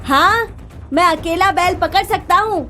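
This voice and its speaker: A squabbling young farm boy, performed by a woman for a cartoon moral tale. The voice is very childlike, high and whiny, with unhurried phrasing. Music plays behind it. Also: sharp tone, heavily acted. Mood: bickering. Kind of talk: animated moral story acting.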